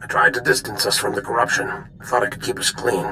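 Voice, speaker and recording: An actor playing a weary, grave older general who gives deliberate military briefings in a video game. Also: male voice, fairly low pitch, flat delivery, vocal fry, pushed and bright, slight background noise. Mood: grave, confiding. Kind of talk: dramatic video game dialogue.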